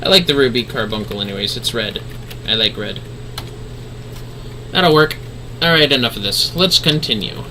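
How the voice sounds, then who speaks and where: A man's voice: mid-range voice, expressive, moderate pace, pauses often, bright, forceful tone, a little hiss or hum, rough recording. A man giving casual livestream game commentary in an offhand, wry, slangy way. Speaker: game streamer.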